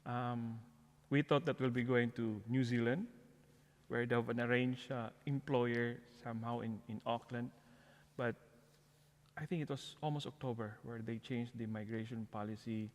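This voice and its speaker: A man's voice: mid-range voice, fairly even intonation, moderate pace. A middle-aged man with a Filipino accent, talking conversationally as an interview guest and telling personal stories. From Philippines. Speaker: guest, parish outreach coordinator. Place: church, before the altar. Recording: long echoey reverb of a large hall.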